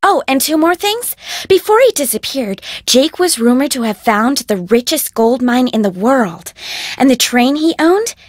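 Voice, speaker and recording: A woman's voice: sits high, sing-song, unhurried, dry recording, studio quality, exaggerated voice. An actress playing a young, spoiled American socialite in a video game, gushing and self-important as she talks. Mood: breezy, dramatic. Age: young adult.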